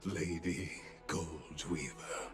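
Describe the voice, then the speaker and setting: A man voicing a grave, deliberate male character in a video game drama. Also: rumbling low, over music, full character voice.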